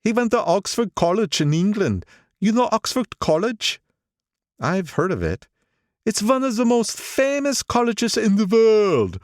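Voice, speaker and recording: A man voicing an older male gangster who is gloomy, sentimental and boastful, with a slow, heavy, brooding delivery. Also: high voice, very animated, dry recording, clean studio sound, voice pushed up. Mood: admiring. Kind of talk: audiobook character voice.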